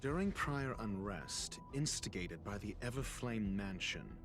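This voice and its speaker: A composed, deliberate detective, music behind. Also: male voice, on the low side. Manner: deliberate, articulate, expository. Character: composed male detective reasoning out a mystery. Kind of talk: dramatic video game cutscene monologue. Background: background music.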